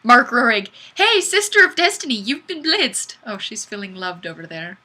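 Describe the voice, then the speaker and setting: A woman hosting a casual live stream, chatting and reading comments in a goofy, animated, exclamatory way. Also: a little high, normal speed. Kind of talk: casual chat, reading viewer comments aloud.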